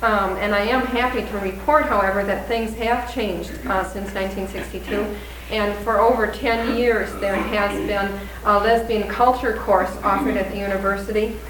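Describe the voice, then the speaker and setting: A woman introducing a guest speaker at a service, measured and with frequent ums. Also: medium pitch, fairly bright tone. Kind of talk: reading a prepared introduction. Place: congregation hall. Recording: echoey room, distant mic, faint noise.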